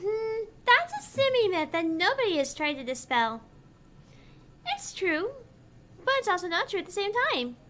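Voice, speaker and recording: Very high, bright, cutesy android girl. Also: big pitch swings, normal speed, full character voice. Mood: matter-of-fact. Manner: cutesy, forward, teasing.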